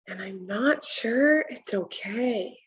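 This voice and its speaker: A woman in her thirties reading a picture book aloud to children, deliberate and instructional, pausing to explain and ask questions. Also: mid-range voice, animated, muffled recording, slight background noise. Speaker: read-aloud presenter for children. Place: home kitchen, indoors.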